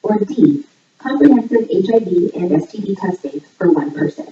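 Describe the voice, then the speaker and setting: A woman hosting a trivia game in a scripted voice-over, reading questions and answers in a measured, item-by-item way. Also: animated, dark tone. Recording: echoey, distant, muffled low-fidelity playback. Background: voice audio played back in the room while filming.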